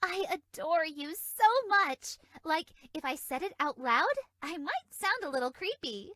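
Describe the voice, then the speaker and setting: A sweet, naive fairy for a video game. Her voice is extremely high and airy, with animated, bouncy melody. She sounds innocently flirty, in a very dry recording. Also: a little slow, dry recording, full character voice. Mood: adoring. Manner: bubbly, innocently suggestive, airy. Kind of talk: video game character dialogue.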